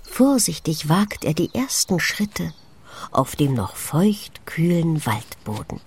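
A woman reading a children's story in an expressive, hushed and wondering way, speaking directly to a small child. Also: low voice, slow pace, a little hiss or hum. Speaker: audiobook narrator for young children. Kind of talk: gentle scripted children's story narration with questions to the listener. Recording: birdsong and clicks behind the voice. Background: forest sounds with chirping birds.

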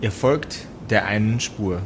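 A man narrating an improvised tabletop adventure as game master, with deliberate phrasing and dramatic pauses. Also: on the low side, noisy background.

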